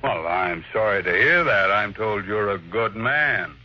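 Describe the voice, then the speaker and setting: An actor playing a ruthless, imperious older millionaire in a 1950s radio drama, brusque and commanding. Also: male voice, fairly low pitch, animated, measured pace, sharp tone, full character voice. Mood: cool, unruffled. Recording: lo-fi old radio broadcast.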